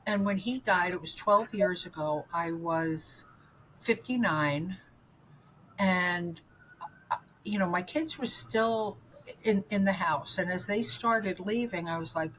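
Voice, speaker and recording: A woman's voice: fairly low pitch, takes it slowly, fairly bright tone, slight background noise, rough recording. A woman in her seventies, from New York, telling her own story as an interview guest in a candid, rambling way with lots of fillers and restarts. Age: 70s.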